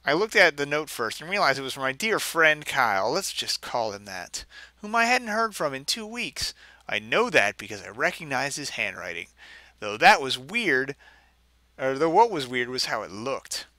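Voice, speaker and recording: A man with a very bright, forceful voice reads aloud and comments casually in a game stream. His delivery swings widely in pitch, sarcastic and exasperated, and the sound is close and dry. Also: sing-song, normal speed, no echo. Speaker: video game streamer reading a story aloud. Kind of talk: mocking dramatic reading with casual commentary.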